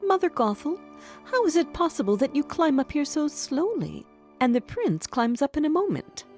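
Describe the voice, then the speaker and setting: Woman playing young fairy-tale maiden, high-pitched, naive, questioning, lively intonation, storybook. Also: moderate pace, soft background music. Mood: innocently curious. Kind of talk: storybook character voice.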